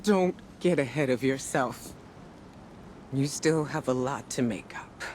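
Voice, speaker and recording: An actress playing a weary, guarded warlock leader in a video game cutscene, with measured, formal diction. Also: female voice, rumbling low, slight background noise. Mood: wry. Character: weary, guarded female warlock leader, grieving but stern. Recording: loud music behind the voice. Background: music.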